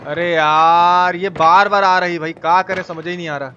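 A young man doing casual, slangy live game commentary, repeating his phrases excitedly and chatting with viewers. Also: high voice, moderate pace, muffled recording, noisy background. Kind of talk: casual gameplay commentary with chat replies.

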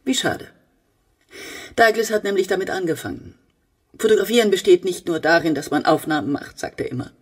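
A needy, fussing middle-aged mother in a radio drama. Her voice is husky and she talks a lot. She sounds a little away from the microphone. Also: lowish voice, lively intonation, fairly quick, far from the mic. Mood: chatty, wistful. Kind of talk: German radio play acting.

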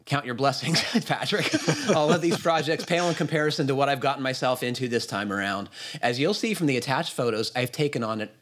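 Guy hosting a podcast over video call, mid pitched voice, chatty, sometimes reading stuff out. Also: a little fast, fairly bright tone. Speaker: podcast host. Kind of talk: casual chat and reading a listener email aloud. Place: indoor room on a video call.

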